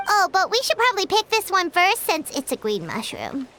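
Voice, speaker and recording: Squeaky little floating sidekick girl, super high and bouncy, game audio with noise behind. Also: moderate pace, full character voice. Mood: excitable. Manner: excitable, blunt, talks of herself in third person. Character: tiny floating girl companion, excitable and blunt. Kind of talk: video game voice acting.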